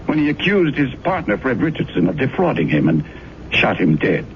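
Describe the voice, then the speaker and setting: An actor playing a smooth, pious man giving measured, persuasive counsel in a 1970s radio drama. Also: medium pitch, big pitch swings. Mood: dismissive. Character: smooth, pious, dismissive male advisor. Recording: dull, noisy old radio broadcast.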